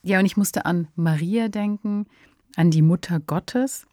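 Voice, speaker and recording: A woman's voice: lowish voice, measured pace, warm tone, no echo, studio quality. A woman, co-hosting a podcast in a conversational, discursive style. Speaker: podcast co-host. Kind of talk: conversational radio drama discussion.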